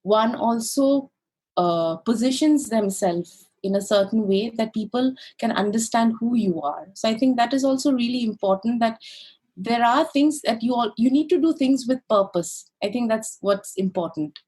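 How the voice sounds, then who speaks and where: A woman's voice: fairly high pitch, moderate pace, a little mellow, husky. A woman from India and Greece talking conversationally and reflectively as an interview guest, often saying "you know". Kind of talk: conversational personal storytelling.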